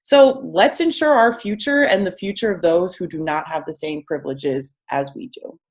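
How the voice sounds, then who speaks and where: A woman's voice: lowish voice, moderate pace. A young adult woman delivering a prepared advocacy speech earnestly, speaking for a young adults' group.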